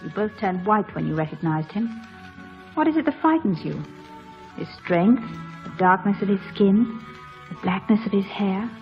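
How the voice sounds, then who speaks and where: A woman's voice: mid-range voice, animated, normal speed, long pauses, natural voice. A woman playing a headstrong, genteel young lady in an old-fashioned radio drama style. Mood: provoking, fascinated. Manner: genteel, pert, forthright. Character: headstrong, fascinated young gentlewoman. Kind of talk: 1940s radio drama acting. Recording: dull old radio broadcast, music behind.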